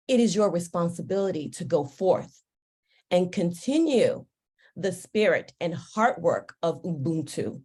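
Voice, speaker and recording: Slow, deliberate woman giving a speech. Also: on the low side, mellow. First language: Yoruba. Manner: slow, deliberate, uplifting delivery. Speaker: ceremony speaker. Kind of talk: formal commencement speech.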